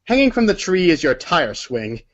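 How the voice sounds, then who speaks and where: A man's voice: high voice, sing-song, moderate pace, muffled recording, a little hiss or hum. A man narrating a story aloud as if from a storybook, sometimes stumbling over words and correcting himself. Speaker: narrator of a group readers' theater.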